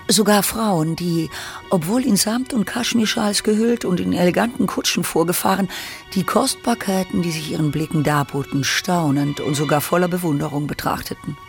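A narrator reads literary prose for a radio drama. The delivery is measured and dramatic, with deliberate pauses. Music plays softly behind the voice. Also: mid-range voice.

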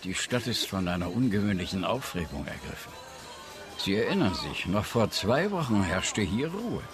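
A man's voice: lowish voice, big pitch swings, normal speed, music in the background. An actor playing a talkative, pious old villager grown rich, telling his story expansively in a radio drama. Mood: hospitable, eager. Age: older.

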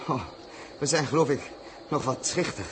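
An actor playing a brisk, commanding spaceship captain in a 1960s science fiction radio drama. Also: male voice, mid-range voice, close to an everyday voice. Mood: concerned. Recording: noisy vintage radio drama recording.

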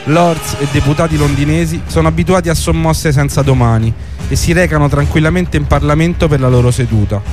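A man's voice: fairly high pitch, brisk. A man hosting and narrating a scripted historical radio programme. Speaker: host and narrator of a radio series. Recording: music under the voice. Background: music.

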